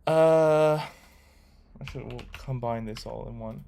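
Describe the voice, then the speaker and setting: A young man on a laid-back coding livestream, talking loosely to his chat with plenty of ums and restarts. His voice sits at an ordinary middle pitch, and keyboard typing is heard behind him. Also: unhurried, gravelly. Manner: relaxed, rambling, reads chat aloud with many fillers. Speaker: livestreamer learning to code.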